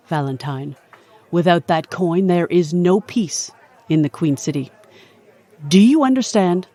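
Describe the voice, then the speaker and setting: A woman's voice: lowish voice, warm tone. Woman playing an imperious, menacing boss. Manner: formal, commanding, coolly threatening. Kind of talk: audio drama acting.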